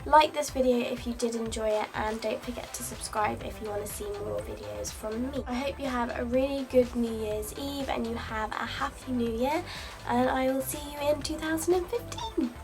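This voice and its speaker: A woman's voice: on the high side, moderate pace. A young woman, stuffed up from a cold, chattily talking through a makeup tutorial step by step. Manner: chatty, friendly, step-by-step explaining. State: congested, says she is sick. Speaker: beauty vlogger. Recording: voice-over with clear music behind.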